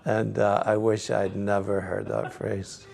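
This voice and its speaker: A middle-aged Scottish man, a priest, answering interview questions in a gentle, reflective way with frequent soft laughs. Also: fairly low pitch, level tone, slow, creaky, soft background music. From Scotland.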